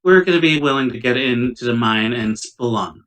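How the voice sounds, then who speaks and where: A man making casual, sardonic, sweary wisecracks at a tabletop roleplaying game, recorded at a distance on low-quality laptop audio. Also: expressive, moderate pace, fairly bright tone.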